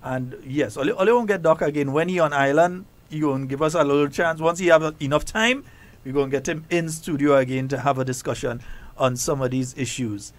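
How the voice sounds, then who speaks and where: A man hosting a talk show, chatty and quick to laugh. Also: a little high. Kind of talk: casual talk show chat.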